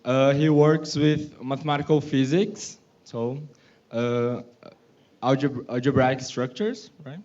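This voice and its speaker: A man's voice: medium pitch, steady tone, unhurried, darkish tone, lo-fi sound. Hesitant man introducing a speaker. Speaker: session chair. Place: lecture hall.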